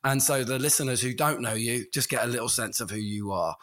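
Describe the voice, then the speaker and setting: Hoarse man hosting a podcast. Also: mid-range voice, a little fast, fairly bright tone. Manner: playful, sings the intro. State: hoarse from a cold. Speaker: podcast co-host. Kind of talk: casual conversational podcast.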